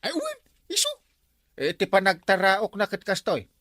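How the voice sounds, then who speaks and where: A man's voice: high-pitched, huge pitch swings, normal speed, fairly bright tone, dry recording, exaggerated voice. Casting: male actor as comic, blustering barangay official, Ilocano accent, swooping delivery, close dry sound. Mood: anxious. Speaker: comedy drama actor. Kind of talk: Ilocano barangay comedy drama acting. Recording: music behind the dialogue.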